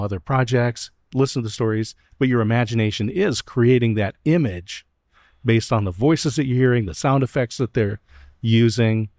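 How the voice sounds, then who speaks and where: A man hosting an interview, asking thoughtful, steady questions. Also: mid-range voice, no echo, studio quality. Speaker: host. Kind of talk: interview host. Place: stadium club room.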